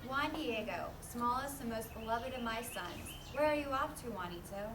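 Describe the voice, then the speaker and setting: A woman playing a sweet, gentle, regal heavenly mother in a children's puppet show, speaking with tender formality. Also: a little high, normal speed, lightly acted. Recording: distant mic, echoey noisy room.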